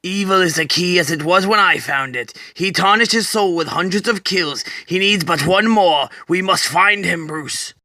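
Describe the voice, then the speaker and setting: A man's voice: high-pitched, moderate pace, few pauses, pushed and bright, no echo. A man voicing a sly, mysterious avenger in a comic reading, cool, lecturing and superior. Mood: urgent.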